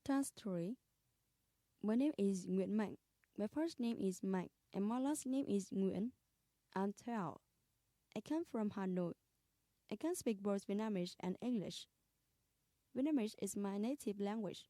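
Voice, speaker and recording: Mellow woman reading textbook exercises. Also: medium pitch, a little slow, long pauses, no echo. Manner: plain, careful dictation of items. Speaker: English listening-practice reader.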